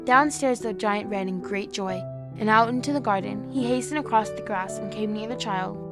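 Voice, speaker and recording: A woman voices a young woman reading a fairy tale aloud, gentle and steady at a mid pitch. Soft music plays clearly beneath her reading. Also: moderate pace, close to an everyday voice. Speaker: storyteller within the drama. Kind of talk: audio drama.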